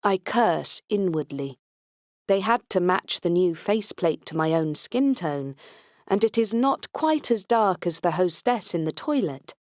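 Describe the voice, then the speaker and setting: A woman's voice: on the low side, expressive, a little slow, no room sound, clean studio sound. A woman reading a science fiction comedy audiobook aloud in the first person, with a wry, tongue-in-cheek delivery. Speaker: audiobook narrator.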